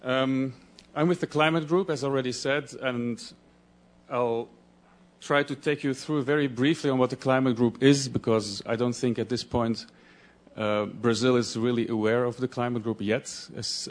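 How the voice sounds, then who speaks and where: A man from Belgium, a Dutch speaker, giving a conference presentation in a halting way, often restarting as he searches for words. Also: mid-range voice, fairly even intonation.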